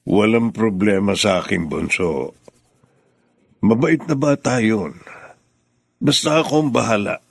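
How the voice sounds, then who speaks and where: A low, gravelly father figure in a radio drama, played by a man. He is a widower who has remarried, and he speaks calmly and steadily. His voice is husky and rough at the edges. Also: measured pace, pauses often, no echo, studio quality, full character voice. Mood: reassuring. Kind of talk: Filipino radio drama acting.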